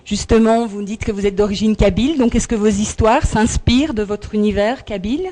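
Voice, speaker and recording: A woman's voice: mid-range voice, fairly quick, dark tone. A woman interviewing a guest for a radio station, asking questions politely and using fillers to keep the talk moving.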